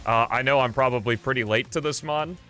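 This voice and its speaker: A man's voice: medium pitch, fairly quick, bright, forceful tone. A man hosting a livestream, giving casual, rambling gameplay commentary as he thinks aloud in an informal way. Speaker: livestream host. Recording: game music behind the voice. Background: video game music.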